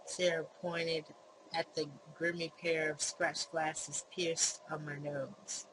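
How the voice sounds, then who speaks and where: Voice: mid-range voice, level tone, slow, close to an everyday voice. A gamer reading as the first-person narrator of a visual novel, in scripted narration. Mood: measured. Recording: clear background noise.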